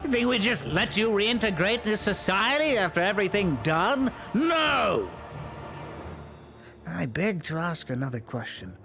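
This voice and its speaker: A man playing a cold, zealous imperial enforcer in a live roleplay, scornful and commanding, dropping to whispers and rising to shouts. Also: sits high, very animated, measured pace, soft background music, full character voice. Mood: menacing. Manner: swings between soft whispers and sudden shouts. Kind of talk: live roleplay in a virtual world.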